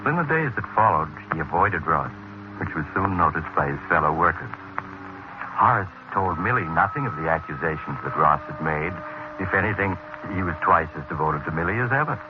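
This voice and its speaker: A man's voice: low voice, lively intonation, brisk. A man playing an ominous storyteller, narrating a suspense tale in scripted 1950s radio style. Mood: measured, ominous. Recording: muffled, noisy vintage radio recording.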